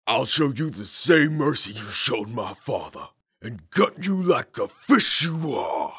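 A man voicing a menacing adult male villain vowing revenge, with a husky, raspy, slow threatening delivery. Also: medium pitch, big pitch swings, a bit bright, full character voice. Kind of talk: voice sample for effects demo.

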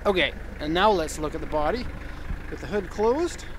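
An upbeat, enthusiastic man in his thirties talks casually while walking around a truck outdoors. His voice sits a little high, picked up on a handheld camera mic. Clear outdoor noise runs under everything he says. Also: lively intonation, normal speed. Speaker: car exporter reviewing a vehicle. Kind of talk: casual walkaround commentary. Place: outdoors in a park by a parked truck.

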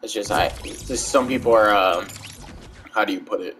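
A man's voice: fairly low pitch, normal speed, fairly bright tone, a little hiss or hum. A man streaming a video game, chatting casually and teasing his friend. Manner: playful, teasing, bursts of silly voices. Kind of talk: casual gaming chat with a friend.

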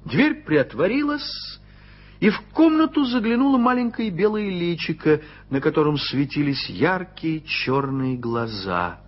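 A man narrating a radio play in an expressive storytelling manner. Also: on the high side, unhurried. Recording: dull lo-fi old radio recording.

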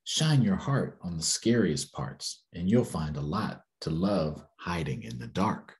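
Man as a slow, gentle storybook spirit. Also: low voice, animated, pitched below the performer's own voice. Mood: soothing. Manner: slow, soothing, lilting. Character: gentle, reassuring heart spirit that soothes a child.

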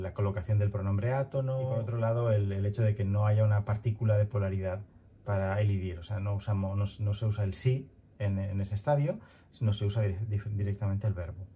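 A man in his thirties giving a recorded grammar lecture over slides, talking in a thoughtful, halting way with many fillers and restarts, from a small room at home on a headset. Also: lowish voice, mellow, lo-fi sound, slight background noise. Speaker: grammar teacher.

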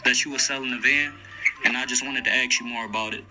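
A man's voice: mid-range voice, steady tone, fast, bright, forceful tone. A young man making a casual prank phone call, talking in slang and haggling in a needling way. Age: young adult. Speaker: prank caller. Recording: music behind the voice.

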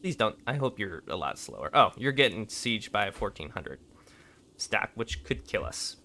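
A young man with a raspy voice from being sick, casually commenting on a strategy game, thinking aloud and clearing his throat often. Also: on the low side, big pitch swings, normal speed, sharp tone, slight background noise. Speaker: gameplay commentator. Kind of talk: casual let's play commentary.

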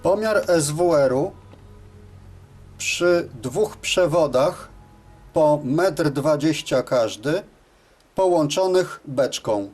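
A man's voice: a little high, lively intonation, extremely slow, pauses often, a little mellow, soft background music. A man giving scripted, methodical and matter-of-fact instructional narration as the presenter of a technical tutorial.

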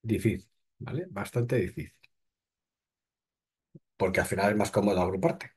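A man's voice: on the low side, normal speed, slightly rough. A man, hosting and reading crypto news aloud, then breaking off with short blunt remarks. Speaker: host.

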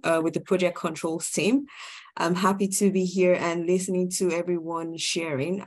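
A woman from Nigeria, a native Igbo speaker, giving a friendly, casual presentation over a video call. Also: lowish voice. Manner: friendly, with soft laughs and many fillers. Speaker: guest presenter.

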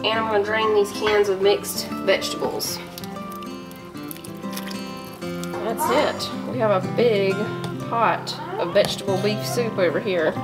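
A woman, chatting homey and relaxed to viewers while she cooks in her home kitchen. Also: mid-range voice, measured pace, pauses often, over loud music. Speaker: home cooking vlogger and mother. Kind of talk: casual cook-with-me narration.

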